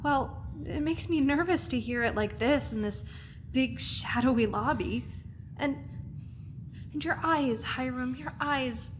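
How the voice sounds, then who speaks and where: A woman acting a fragile, frightened wife in radio melodrama style, anxious and halting in her delivery. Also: high voice, fairly bright tone, slight background noise. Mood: nervous, pleading. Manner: anxious, breathlessly dramatic, halting. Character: frightened, fragile, pleading wife in a gothic thriller.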